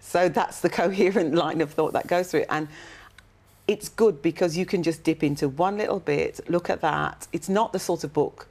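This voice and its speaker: A woman's voice: lowish voice, moderate pace, darkish tone. A woman giving an informal, conversational book review as a member of a panel.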